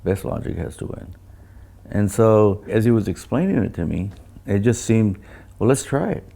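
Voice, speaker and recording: Older man, low-pitched and gravelly. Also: animated, dark tone. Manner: halting, repeats words, anecdotal. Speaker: loudspeaker designer. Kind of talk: casual technical conversation.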